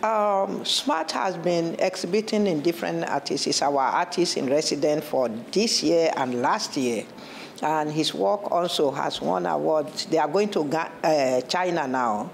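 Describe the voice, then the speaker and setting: A woman's voice: low voice, unhurried, vocal fry. A woman speaking off the cuff as a gallerist being interviewed. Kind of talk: spontaneous interview. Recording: background noise in gallery.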